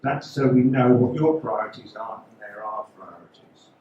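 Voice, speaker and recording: A man's voice: medium pitch, moderate pace, muffled recording, slight background noise. A man, a local election candidate, giving a prepared campaign speech at a public meeting in a school hall, steadily reading his points as a list. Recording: echoey hall.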